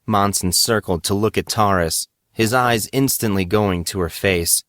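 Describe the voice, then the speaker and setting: A man with a low voice narrates a piece of fiction. His storytelling is measured and dramatic, clearly read from a script. The recording is dry, with no room sound. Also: a bit bright, dry recording.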